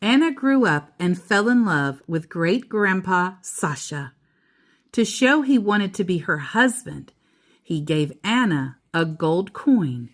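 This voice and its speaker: A woman's voice: fairly low pitch, fairly bright tone. A woman reading a children's picture book aloud, with simple explanations in casual asides to a young listener.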